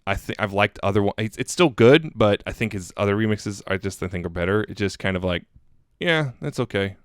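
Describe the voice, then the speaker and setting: Low-voiced man in casual podcast chat. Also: lively intonation, brisk, no room sound, clean studio sound. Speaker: podcast co-host reviewing fan music.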